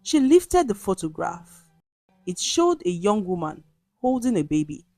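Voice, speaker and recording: Slow, expressive woman storyteller. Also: mid-range voice, dry recording. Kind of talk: scripted dramatic folktale narration. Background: music.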